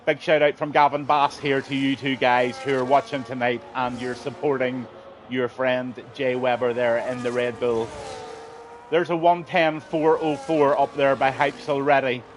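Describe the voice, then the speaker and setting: A man's voice: mid-range voice, flat delivery, normal speed, a little hiss or hum. A middle-aged man commentating a sim racing league on a live stream, chatty and friendly, his voice a little strained. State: slightly strained voice.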